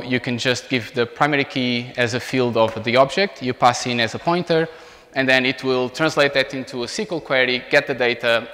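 A man's voice: mid-range voice, sharp tone. Portuguese-accented man presenting in a reverberant hall. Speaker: software engineer giving a talk. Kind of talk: informal technical presentation. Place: indoor meetup room beside a large screen.

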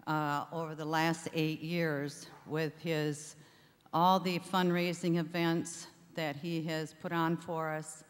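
An older woman giving an informal thank-you speech from a stage at a stand microphone, with halting, deliberate phrasing. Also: sits low. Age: older, 60s or above. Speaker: charity founder giving thanks. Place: theatre stage before an audience. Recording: reverberant hall sound.

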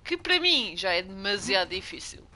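A woman's voice: mid-range voice, very animated, moderate pace, bright, forceful tone. A young woman from Portugal with a strong European Portuguese accent, streaming a game and chatting with viewers. She gets jumpy and swears when startled. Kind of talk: casual gameplay commentary.

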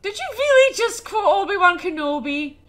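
A woman's voice: very high-pitched, sing-song. A young woman hosting a game let's play, chatting casually and narrating her own moves. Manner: chatty, self-narrating, quick laughs. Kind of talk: casual gameplay commentary.

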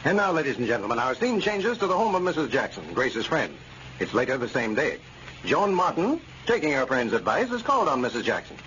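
Animated American vintage radio announcer, a man. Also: medium pitch, brisk. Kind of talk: scripted 1930s radio announcing. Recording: muffled, noisy old radio recording.

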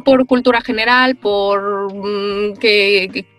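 A woman, a voice actress answering interview questions on a video call, thoughtful and animated, with many pauses and fillers. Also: mid-range voice, soft background music. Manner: animated, gestures while talking. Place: small room with foam panels.

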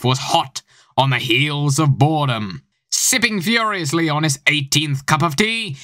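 A teenage male narrator. His voice is fairly high and bright, recorded dry, delivering scripted comedy with theatrical deliberation. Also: no echo. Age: teens. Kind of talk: dramatic scripted comic narration.

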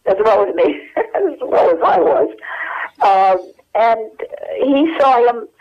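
Older woman, phone-in guest, halting and chatty; muffled telephone sound. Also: medium pitch. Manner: rambling, halting with many fillers. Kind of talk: telephone interview.

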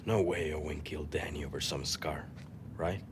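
A man's voice: extremely low pitch, normal speed, background noise. An actor playing a loyal, blunt soldier in his thirties in a game, talking in a casual, plain-spoken way. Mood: concerned. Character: loyal, blunt young male militia soldier. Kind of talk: naturalistic game cutscene acting.

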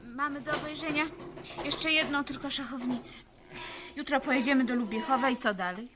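A woman's voice: sits high, brisk, natural voice. An actress playing an anxious, doubting young woman on a treasure hunt in a 1970s Polish radio drama, earnest and fretful. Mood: discouraged. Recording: noisy 1970s radio play recording.

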